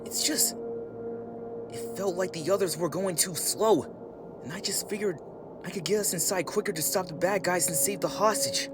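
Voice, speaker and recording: A man acts out an impulsive young turtle boy for a comic dub. The voice is raised and boyish, eager yet a bit sulky. Music plays clearly behind it. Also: sits high, normal speed, natural voice. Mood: chastened. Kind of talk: comic dub dramatic dialogue.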